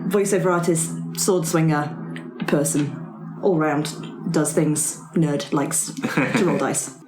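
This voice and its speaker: A woman's voice: low-pitched, steady tone, slow pace, music in the background. Playful woman in echoey room. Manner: playful, joking. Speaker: podcast player, actor, voiceover artist. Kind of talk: casual chat. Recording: roomy, echoing group recording.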